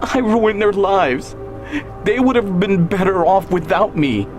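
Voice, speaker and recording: A man playing an unstable woman in an audio thriller, pitched well up from his deep natural voice. She is tearful, accusing and volatile, with music underneath. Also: measured pace. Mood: angry, accusing. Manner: sobbing, snapping, shouting.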